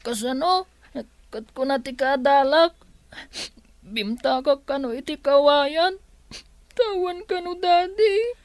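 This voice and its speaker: A woman's voice: high voice, takes it slowly, pauses often, dry recording. A woman playing an innocent, questioning young schoolchild in a radio drama, with a Filipino Ilocano accent. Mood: puzzled, hurt.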